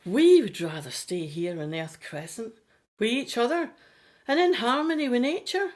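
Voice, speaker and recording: A woman playing a gentle, idealistic settler in an audio drama, explaining things earnestly. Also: a little high, expressive, moderate pace, lightly acted.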